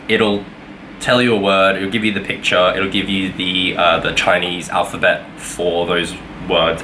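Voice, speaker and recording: A man's voice: low voice, sharp tone, a little hiss or hum, low-quality recording. A young man giving a casual weekly vlog update, rambling with lots of fillers and self-corrections. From Australia. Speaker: vlogger.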